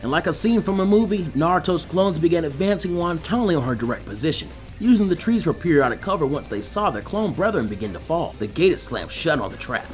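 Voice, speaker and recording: A man's voice: on the high side, animated, fast talker, hardly any pauses, soft background music. A man reading fan fiction aloud as scripted story narration.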